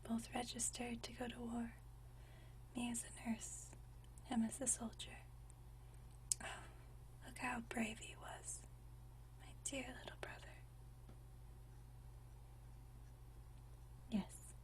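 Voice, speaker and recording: A woman playing a gentle, nostalgic century-old vampire in a whispered ASMR roleplay, speaking softly and intimately with unhurried, genteel old-fashioned phrasing. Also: on the high side, distant mic, slight background noise, close to an everyday voice. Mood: tender, wistful. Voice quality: soft breathy whisper.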